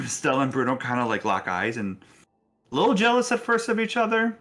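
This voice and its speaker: A man's voice: medium pitch, huge pitch swings, normal speed, sharp tone, slight background noise. A man running a tabletop roleplaying game as game master, narrating casually in a jokey way.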